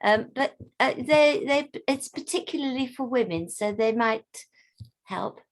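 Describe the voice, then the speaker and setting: Woman, video call, higher voice, halting, searching for words. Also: expressive. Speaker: workshop participant. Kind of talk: online group discussion.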